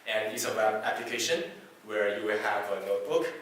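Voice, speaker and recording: A man whose first language is Chinese gives a technical talk to a meetup audience, speaking haltingly with many fillers and restarts. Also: fairly low pitch, fairly bright tone. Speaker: conference speaker. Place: meetup presentation room. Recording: echoey hall from far off, faint hiss.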